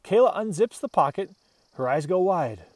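A man reading a children's storybook aloud with expressive, dramatic narration. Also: sits high, moderate pace, dry recording. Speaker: storybook reader.

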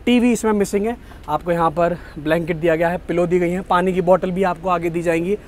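A man's voice: high-pitched, steady tone, quick pace, darkish tone, a little hiss or hum. A young man, the host of a travel vlog, talks to viewers about a bus trip and points things out to them, first at an outdoor depot and then inside a sleeper bus, on a camera held at arm's length. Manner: chatty, keeps pointing things out to viewers, mixes in English phrases. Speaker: travel vlogger. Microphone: phone or action camera at arm's length.

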